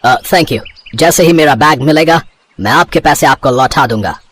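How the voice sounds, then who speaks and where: A man's voice: on the high side, moderate pace, a bit bright. An actor playing a polite, earnest foreign businessman in a cartoon moral story, hesitant and mixing in English phrases. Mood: grateful.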